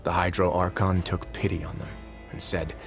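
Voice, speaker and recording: An actor playing a composed, shrewd prison warden in a video game, explaining things in a calm, articulate, deliberate way. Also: male voice, low voice, faint music behind. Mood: calm storytelling. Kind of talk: video game character acting, expository dialogue.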